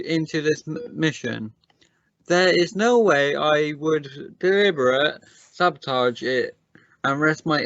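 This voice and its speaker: A man playing a defensive, accusing scientist in an amateur radio drama, speaking in a halting, formal and deliberate way. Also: on the high side, a bit bright, lo-fi sound, a little hiss or hum. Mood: indignant, accusing. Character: defensive, accusing male scientist on a space mission. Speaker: amateur radio play actor.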